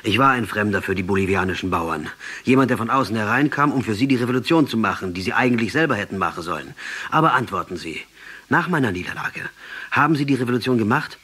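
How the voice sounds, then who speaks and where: A husky, defiant guerrilla commander. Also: male voice, lowish voice, animated, fast, pushed and bright, full character voice. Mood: sardonic, defiant. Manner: sardonic, emphatic, declamatory. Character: captured Marxist guerrilla commander, defiant, ironic, passionate intellectual. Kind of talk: radio play acting.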